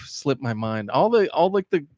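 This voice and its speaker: A man's voice: on the high side, very animated, moderate pace, a little mellow, no room sound, studio quality. A man co-hosting a video game podcast, chatting casually and rambling with lots of fillers and restarts. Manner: rambling, hesitant with many fillers. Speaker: podcast co-host. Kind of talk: casual chat.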